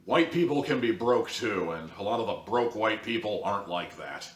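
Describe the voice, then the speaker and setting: A man's voice: fairly low pitch, animated, moderate pace, far from the mic. A man giving a casual, opinionated review with a blunt, sarcastic manner. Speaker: online audio drama reviewer. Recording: echoey room, off mic.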